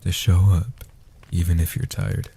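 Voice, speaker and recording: A man voicing a gentle old guardian vampire in a soft-spoken comfort roleplay, slow and soothing, with a husky, half-whispered delivery. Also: low voice, fairly even intonation, slight background noise. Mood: tender, reassuring.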